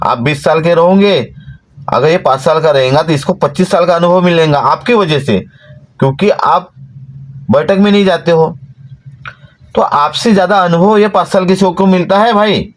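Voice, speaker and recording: A man, giving an earnest, exhorting devotional talk to followers from inside a parked van. His pitch rises when he stresses a point. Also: normal speed. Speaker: devotional channel host.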